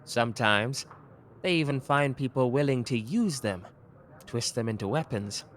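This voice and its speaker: A man voicing a sharp, playful young male detective in a listener ASMR roleplay, teasing one moment and grave the next. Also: mid-range voice, a little hiss or hum, lightly acted. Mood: brooding. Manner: quick, teasing, theatrical shifts between cheeky and grave.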